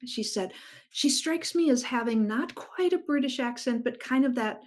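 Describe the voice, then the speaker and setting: A woman's voice: a little high, normal speed. A middle-aged woman author chatting enthusiastically over a video call about her writing. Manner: enthusiastic, animated storyteller. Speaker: guest author. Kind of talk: casual interview chat.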